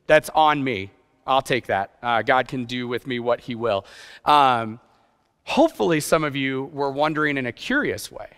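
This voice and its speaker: A man's voice: on the high side, pushed and bright, smooth. A middle-aged man giving an informal sermon in a self-deprecating, conversational way with rhetorical questions. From grew up partly in Japan. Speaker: guest preacher, youth pastor. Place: church stage, indoors. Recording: reverberant hall sound.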